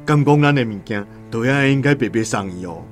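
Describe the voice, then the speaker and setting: A man's voice: mid-range voice, lively intonation, fairly quick, faint music behind, lightly acted. A man with a Taiwanese accent playing a poor, honest, aggrieved vegetable seller in his thirties in a radio drama. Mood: indignant. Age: 30s. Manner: plaintive, protesting.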